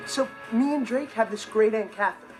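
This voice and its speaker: A man's voice: high voice, a little fast, natural voice. A young man with an American accent playing a dramatic, easily flustered teenage stepbrother, theatrical and emphatic in a sitcom monologue. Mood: confiding. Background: loud music.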